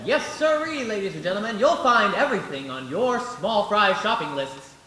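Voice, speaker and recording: A man's voice: high voice, animated, moderate pace, crowd noise. A man playing a showy department-store barker with hearty patter in an old-time radio commercial. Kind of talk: 1940s radio commercial acting. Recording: echoey stage, music behind.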